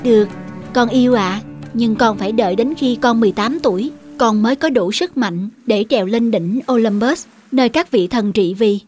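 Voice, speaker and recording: A woman voicing a gentle, firm mother goddess in a myth cartoon, patient and instructive. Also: on the high side, normal speed, natural voice. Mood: tender, solemn. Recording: music behind.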